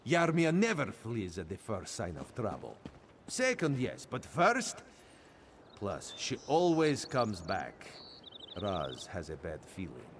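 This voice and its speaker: A man's voice: lowish voice, huge pitch swings, slow pace, a little hiss or hum, full character voice. An actor playing a sly male cat-folk spy in a video game, who speaks of himself in the third person with a playful yet menacing manner. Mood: worried, vengeful.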